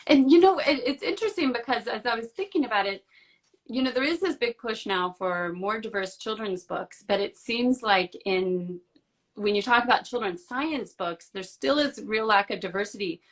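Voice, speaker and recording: A woman's voice: on the high side, moderate pace. A woman hosting a casual live interview, asking questions with encouraging backchannels. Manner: warm, encouraging, frequent backchannels.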